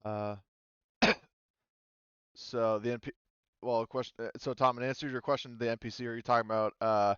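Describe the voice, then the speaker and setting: A man's voice: mid-range voice, steady tone, moderate pace, pauses often, a bit bright, smooth, no echo, dull audio. A man running a tabletop game as game master, rambling along with lots of fillers and self-corrections.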